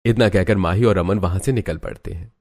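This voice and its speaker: A man's voice: deep voice, fairly quick, darkish tone, soft background music. A man narrating a scripted cartoon story.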